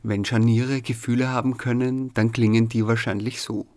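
A man's voice: mid-range voice, flat delivery, normal speed, noisy background. A man with an Austrian accent, hosting a radio programme and reading scripted narration. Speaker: radio show host.

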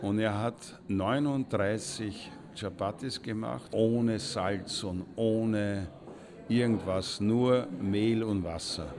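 An actor playing a storyteller with an Austrian accent, telling an anecdote deliberately, with pauses, in a radio drama. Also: male voice, fairly low pitch, fairly even intonation, a crowd in the background. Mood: bemused. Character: male storyteller recalling an eccentric old friend.

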